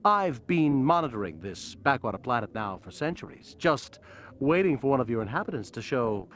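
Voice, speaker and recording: A man's voice: mid-range voice, big pitch swings, normal speed, fairly bright tone. A man voicing a pompous, centuries-old alien emissary in a cartoon game, speaking portentously. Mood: grandly portentous. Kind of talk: cartoon video game acting.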